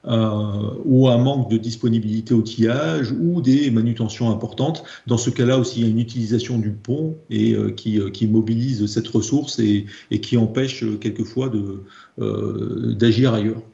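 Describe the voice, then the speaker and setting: A man's voice: on the low side, fairly even intonation, moderate pace, vocal fry, warm tone. A man with a French accent explaining slides as a trainer, hesitant and often repeating words as he goes. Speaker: presenter, trainer in process improvement. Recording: dull, echoey room recording.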